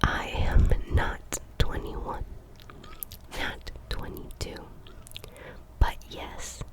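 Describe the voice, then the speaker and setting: A woman in her twenties from Colombia, whispering a casual ASMR chat and softly repeating trigger words. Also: very slow. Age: 20s. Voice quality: breathy unvoiced whisper. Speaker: ASMR creator.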